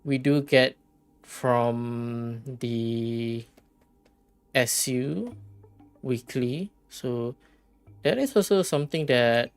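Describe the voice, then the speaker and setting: A game livestreamer chatting casually, rambling and halting while thinking aloud. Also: medium pitch, faint music behind. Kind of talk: casual gaming stream chat.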